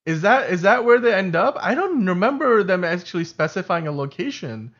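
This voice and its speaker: A man's voice: high-pitched, big pitch swings, brisk, a bit bright, highs cut off. A man whose first language is Urdu, co-hosting a casual livestream chat, talking in a chatty way, often correcting himself and laughing. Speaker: podcast co-host.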